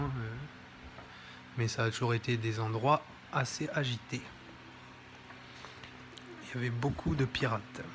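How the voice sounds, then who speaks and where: A man's voice: medium pitch, noisy background. A man presenting a history book in an informal, hesitant commentary, with many fillers and self-corrections. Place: home near an airport.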